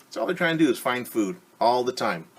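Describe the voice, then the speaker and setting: A male teacher reads a picture book to little kids in a lively, animated way. His voice sits in a middle pitch. He keeps pausing to explain and to ask the children questions. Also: husky. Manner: playful sound effects. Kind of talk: children's story time read-aloud.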